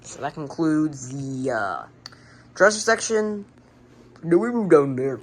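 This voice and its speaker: An American woman casually showing a hobby collection, speaking in a halting, unscripted, plain way on an amateur home recording. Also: deep voice, expressive. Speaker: radio collector presenting the collection. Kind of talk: casual hobby show-and-tell narration.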